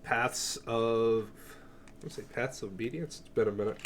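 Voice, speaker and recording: A man with a medium-pitched voice talks casually through a show-and-tell of his collection. He rambles in an offhand way, cuts himself off and curses freely. There is some noise in the recording. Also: normal speed. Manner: offhand, profane, self-interrupting. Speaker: music collector presenting a cassette collection.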